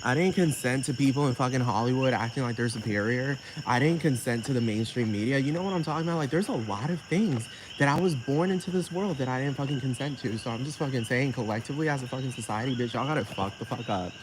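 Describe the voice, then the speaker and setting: Male vlogger, mid-pitched, ranting loosely with lots of swearing; noisy take with crickets behind. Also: fast talker, hardly any pauses. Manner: repetitive, keeps asking "you know what I'm talking about". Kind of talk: rambling profane rant.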